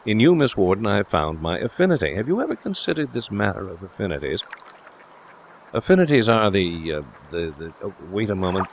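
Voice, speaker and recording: A man voicing a brash, talkative young gentleman with a complacent, pompously philosophical manner, in a storybook reading. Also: fairly low pitch, sing-song, brisk, vocal fry, a little hiss or hum. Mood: earnest, fumbling. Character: brash, self-assured young gentleman philosopher, cheerfully smitten.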